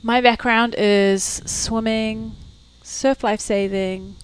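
A woman, a fitness coach guest talking casually at home in a halting way, with many fillers and trailing lists. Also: fairly high pitch, fairly even intonation, slight background noise. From New Zealand. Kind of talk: casual interview chat.

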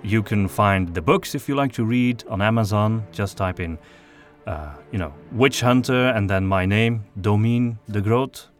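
A man's voice: on the low side, measured pace, faint music behind. A man hosting a podcast, talking casually in a hesitant, conversational way. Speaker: podcast host.

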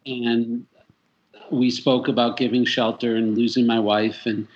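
Guest guy from boston area, husky voice, jokey smart aleck but sincere too. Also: medium pitch, little pitch movement, unhurried, muffled recording. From metropolitan Boston. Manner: wry, joking, earnest about his purpose. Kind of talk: casual interview talk.

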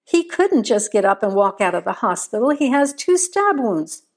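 A woman's voice: fairly high pitch, very animated, moderate pace. Woman acting a furious, defiant wife. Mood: angry, alarmed. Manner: screaming, sharp, challenging. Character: furious, defiant wife fighting back at her husband.

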